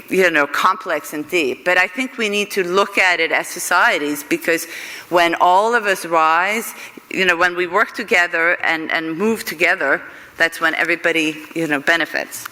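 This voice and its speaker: A woman speaks in a very bright, sharp tone, answering questions from a conference stage. She stops, repeats and restarts words as she thinks aloud. Her voice carries a long reverb from a large hall. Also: fairly low pitch. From Iceland.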